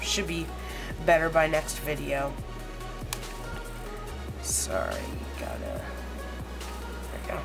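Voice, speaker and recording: Hoarse low-voiced woman reviewing gadgets. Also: lively intonation, over loud music. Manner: rambling, halting, many fillers. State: hoarse, under the weather. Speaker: tech reviewer. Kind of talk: casual unboxing review.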